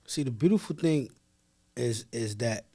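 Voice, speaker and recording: A man's voice: mid-range voice, animated, a little slow, warm tone. An American man asking questions as an interviewer in an informal, slangy, conversational way. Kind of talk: casual interview chat.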